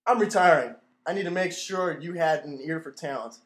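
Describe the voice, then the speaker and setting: A man playing a pompous, rambling retired admiral who runs a radio station, deadpan, in a mock old-time radio play. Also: on the high side, normal speed, close to an everyday voice. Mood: blustering. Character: pompous, addled retired navy admiral and radio boss, male.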